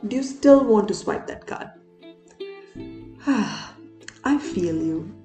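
A woman hosting a podcast with a slightly low voice, reading her script earnestly and speaking straight to the listener. She sounds like she's in an echoey room, with soft music underneath. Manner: earnest, direct address to listener. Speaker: podcast host.

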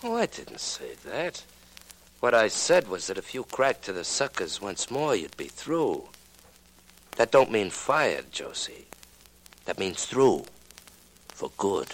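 A man's voice: fairly low pitch, huge pitch swings, slow, long pauses, heavily acted, poor audio. A man playing a tough, threatening nightclub boss with blunt, slangy speech in a 1940s radio drama. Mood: stubborn. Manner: gruff, blunt, ungrammatical tough-guy talk.

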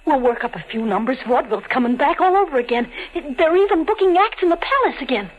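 Scared gangster's wife, extremely high voice, plaintive, slangy, pleading, 1940s radio melodrama, muffled broadcast sound. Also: female voice, animated, quick pace. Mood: pleading, coaxing. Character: frightened, pleading gangster's wife and dance partner. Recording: dull old radio broadcast.

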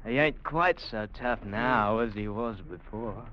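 A man's voice: fairly low pitch, measured pace, crowd noise, close to an everyday voice. An actor playing a small, vicious, vengeful man with sneering, folksy speech in a vintage radio drama. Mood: gloating. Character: small vicious vengeful man, gloating over his revenge. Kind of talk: 1950s radio drama acting. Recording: muffled vintage radio recording.

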